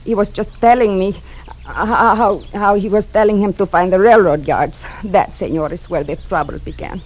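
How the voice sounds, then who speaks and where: An actress playing a worried, courteous Spanish mother in her sixties, speaking halting, formal English with a Spanish accent in an old radio drama. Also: mid-range voice, darkish tone, natural voice, low-quality recording. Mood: polite, worried. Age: 60s. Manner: halting, formal, searching for words. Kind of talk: 1940s radio drama acting. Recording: old radio broadcast recording.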